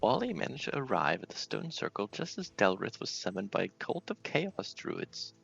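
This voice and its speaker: A man's voice: fairly low pitch, huge pitch swings, normal speed, gravelly, fairly bright tone, slightly rough, lo-fi sound, soft background music, full character voice. A man voicing an elderly, mystical fortune-teller with a theatrical, portentous way of talking. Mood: solemn.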